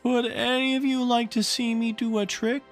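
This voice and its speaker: A man voicing a dejected, heavy-hearted little boy in a storybook, with slow, plaintive, drawn-out speech. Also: very high voice, flat delivery, soft background music, pitched above the performer's own voice. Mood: glum, pleading.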